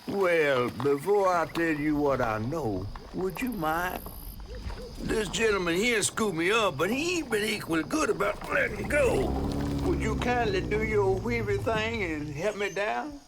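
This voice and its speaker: A man playing a chatty, flattering fish in a video game, with a Southern US accent and flowery, wheedling speech. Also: sits high, measured pace, background noise. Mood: courteous, wheedling. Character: folksy, chatty male talking fish, flattering and theatrical. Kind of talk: video game character acting. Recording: music behind the voice.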